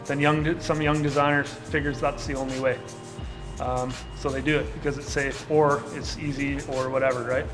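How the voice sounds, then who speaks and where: A man's voice: mid-range voice, normal speed, poor audio. A middle-aged man from Canada speaking casually in a documentary interview, with lots of filler words. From Saskatchewan, Canada. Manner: relaxed and casual, with many filler likes. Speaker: co-founder and designer. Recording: interview with music playing underneath.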